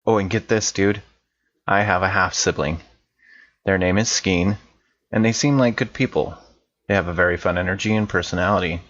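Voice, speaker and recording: A low-voiced, easygoing dude played by a man in an audio drama, chatty and slangy as he eagerly shares news. The sound is a little dull and muffled. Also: moderate pace, long pauses, a bit bright. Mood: chatty excitement. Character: excitable laid-back dude sharing personal news.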